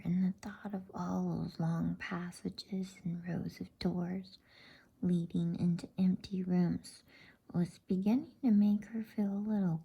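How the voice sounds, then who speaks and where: A woman whispers a bedtime story in a very breathy, husky hush. Her delivery is soft and very slow, with a dark, mellow tone. It is intimate ASMR-style storybook narration. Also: on the low side. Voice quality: breathy, mostly unvoiced whisper. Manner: soft, hushed, unhurried delivery.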